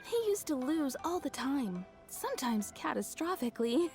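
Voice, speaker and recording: Lively high-voiced young townswoman. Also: moderate pace, faint music behind. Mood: amused, assured. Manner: chatty, storytelling, lightly amused. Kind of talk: video game voice acting.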